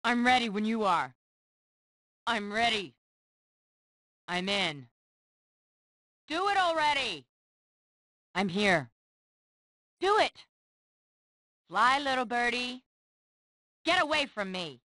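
An actress voicing a haughty, impatient superheroine in short video game combat lines, imperious and scornful with clipped delivery. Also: female voice, on the high side, big pitch swings, takes it slowly, pauses often, sharp tone, dry recording, studio quality, heavily acted. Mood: urgent, commanding.

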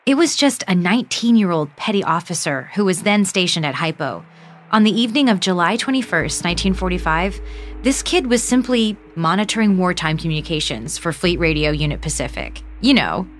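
A young woman playing an excitable, starstruck podcaster, narrating an audio drama in a chatty, informal way. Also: on the low side, fairly quick, a little hiss or hum, lightly acted. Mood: curious. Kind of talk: casual first-person audio drama narration. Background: music.

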